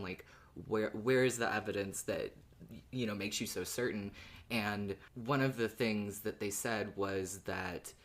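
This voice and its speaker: A podcast panelist in a casual fan discussion, talking in a chatty, informal way. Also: low voice.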